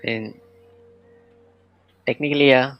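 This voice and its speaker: A man's voice: medium pitch, steady tone, takes it slowly, lo-fi sound, faint music behind. A man giving short, casual reaction commentary as a gaming streamer over gameplay.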